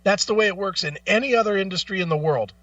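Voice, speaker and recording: Male talk host, high-voiced and argumentative, blunt swearing, emphatic rant delivery, recorded dry and a little off the mic. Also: quick pace, fairly bright tone, dry recording, recorded from a distance, highs cut off. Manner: repeats phrases for emphasis. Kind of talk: opinionated music talk, ranting.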